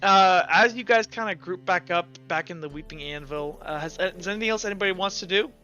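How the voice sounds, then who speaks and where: A man running a tabletop game, improvising narration in a halting, informal way. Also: high voice, bright, forceful tone. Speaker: game master. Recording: slightly muffled, music bed behind.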